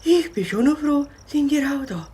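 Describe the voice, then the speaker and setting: A woman's voice: high-pitched, lively intonation, normal speed, mellow. A woman voicing a timid, worried youngster with an anxious, hesitant manner in a children's audio drama. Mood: nervous. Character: timid, worried little friend who wants to go home.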